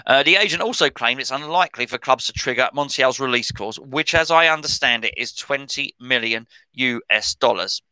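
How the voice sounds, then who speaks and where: A man's voice: medium pitch, moderate pace, hardly any pauses, sharp tone, clear, resonant tone, no room sound, rough recording. A middle-aged man, rambling and correcting himself as he chats about football transfer news as a podcast host. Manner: chatty, rambling, self-correcting. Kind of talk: casual commentary on transfer news.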